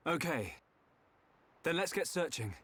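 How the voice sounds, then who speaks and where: An actor playing an earnest, determined young hero with a questioning manner in a dramatic video game. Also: male voice, on the high side, very animated, unhurried, a bit bright, slightly rough, full character voice.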